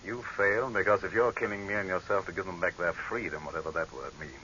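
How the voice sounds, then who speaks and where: An actor playing a proud, scornful dictator facing death in a 1930s radio horror drama, with a commanding, oratorical delivery on a hissy old recording. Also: male voice, sits low, steady tone, quick pace, bright, forceful tone, lo-fi sound. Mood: forceful, contemptuous.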